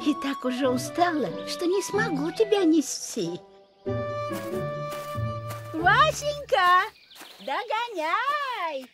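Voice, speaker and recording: A woman's voice: very high-pitched, very animated, slow pace, pauses often, full character voice. A woman voicing a kindly, weary old grandmother in a cartoon, affectionate and fussing. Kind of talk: cartoon voice acting. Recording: loud music behind the voice.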